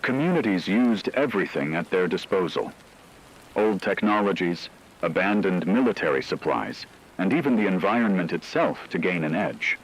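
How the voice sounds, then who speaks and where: A man reads dramatic scripted narration in a solemn, measured way. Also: sits low, animated, slightly rough, poor audio.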